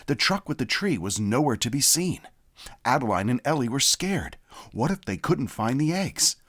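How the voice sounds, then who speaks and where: Guy narrating a kids picture book, pitch goes up and down a lot, takes his time. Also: big pitch swings, normal speed. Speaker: storyteller reading a children's picture book. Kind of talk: scripted read-aloud narration.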